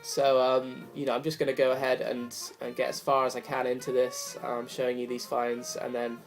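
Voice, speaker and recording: A man's voice: medium pitch, completely flat delivery, normal speed, creaky. Rambling young man with a cold. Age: young adult. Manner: rambling, apologetic, many fillers. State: hoarse from a cold. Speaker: vinyl collector vlogger. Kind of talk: casual chat to camera. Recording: faint music behind the voice. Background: jazz record playing softly.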